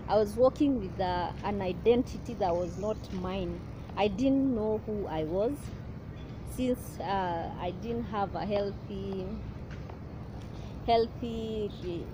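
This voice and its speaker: A young woman talks in a personal vlog, earnest and halting, often restarting her sentences. Her voice sits at an ordinary middle pitch. Clear outdoor traffic noise runs behind her. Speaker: vlogger.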